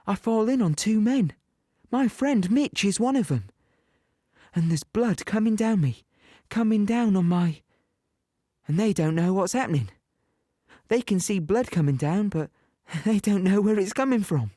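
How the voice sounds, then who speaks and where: An actor playing a young wounded British infantryman, plainly and haltingly telling his story in an audio play. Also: sits high, animated, dark tone, no room sound, clean studio sound. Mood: shaken, bemused. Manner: conversational, halting, present-tense storytelling. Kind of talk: first-person dramatic monologue in audio play.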